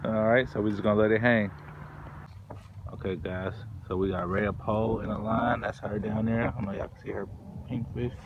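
A man's voice: on the low side, little pitch movement, fairly quick, muffled recording. A young man filming on a pier, giving casual, teasing commentary to viewers and laughing often. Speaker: vlogger filming family. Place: outdoors on a wooden pier by a pond. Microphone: phone camera held in hand. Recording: outdoor phone recording with background noise.

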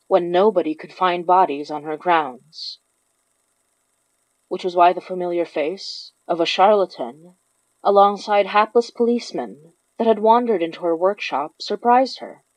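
A woman's voice: on the low side, no room sound, low-quality recording. A woman reading scripted narration for a horror story. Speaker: narrator of a horror fiction podcast.

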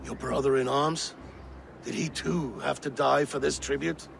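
An actor playing a paranoid, wrathful middle-aged Viking jarl in a video game, imperious and accusing, with a gravelly voice. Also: male voice, mid-range voice, fairly even intonation, a little slow. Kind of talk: dramatic video game acting. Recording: noisy game ambience behind.

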